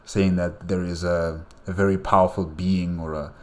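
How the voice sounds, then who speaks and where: Man, deep voice, casual reaction host, halting, repetitive rambling. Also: little pitch movement. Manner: rambling, halting, repeats phrases.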